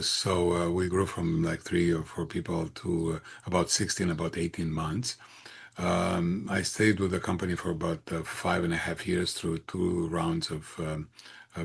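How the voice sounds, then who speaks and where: Deep, gravelly older man with Romanian accent. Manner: halting, hesitant phrasing with frequent pauses. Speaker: interview guest, entrepreneur. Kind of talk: conversational autobiographical answer.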